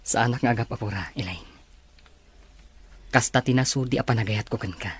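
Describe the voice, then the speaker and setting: A man playing an earnest young suitor in a radio drama, speaking tenderly with a breathy, husky voice. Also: medium pitch, a little fast. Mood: tender pleading. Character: earnest young male suitor declaring love. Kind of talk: radio drama acting in Ilocano romance.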